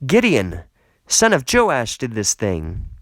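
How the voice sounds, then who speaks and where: A man's voice: mid-range voice, wildly swooping pitch, slow, fairly bright tone, no room sound, full character voice. A man voicing angry townsmen who accuse and demand punishment, emphatic, in a dramatised scripture reading. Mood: accusing.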